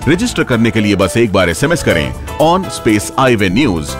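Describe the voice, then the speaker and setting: A man's voice: lowish voice, big pitch swings, moderate pace, over music. A man hosting a radio show, presenting scripted material in a playful, engaging way. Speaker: radio host.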